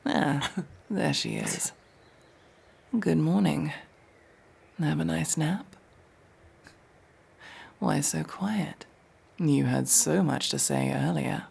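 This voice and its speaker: A man playing a cold, sardonic masked elf mercenary in a fantasy audio roleplay, menacing and dryly sarcastic, with a gravelly, husky voice. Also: on the high side, very animated, darkish tone, a little hiss or hum, exaggerated voice. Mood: dry, taunting. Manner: slow, menacing, dry sarcasm.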